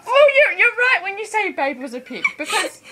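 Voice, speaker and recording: A young woman makes sarcastic, exclamatory remarks in casual chat. Her voice is extremely high and swings widely, at an unhurried pace. The recording is clearly noisy. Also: sing-song. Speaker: listener commenting on the story. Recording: noisy home recording with clicks.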